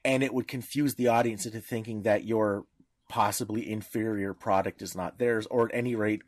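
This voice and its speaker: A man's voice: lowish voice, steady tone, normal speed, no echo, clean studio sound. A man speaking as a podcast panelist in informal discussion, explaining in a didactic way with examples.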